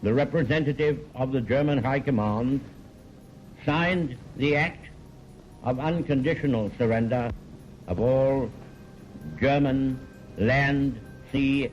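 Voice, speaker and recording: A man making a formal, solemn 1940s radio announcement in a deliberate, measured way, on an old archive recording. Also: mid-range voice, a little mellow, lo-fi sound, faint music behind.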